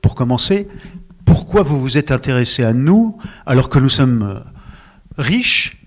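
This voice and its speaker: A man's voice: mid-range voice, expressive, a little slow. A man moderating a literary panel at a book fair, asking questions in a hesitant way with many restarts.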